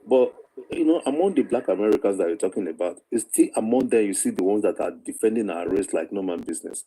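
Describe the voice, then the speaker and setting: A man with a Nigerian accent talks in a lowish, very dark and relaxed voice. He reasons patiently in an informal discussion, repeating key phrases to persuade. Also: quick pace, rough recording.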